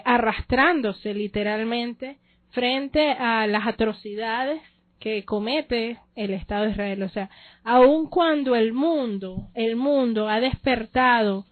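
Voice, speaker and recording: A woman's voice: on the high side, no room sound. A woman hosting a political debate show, speaking in a pointed, emphatic way. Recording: dry tinny phone-like sound.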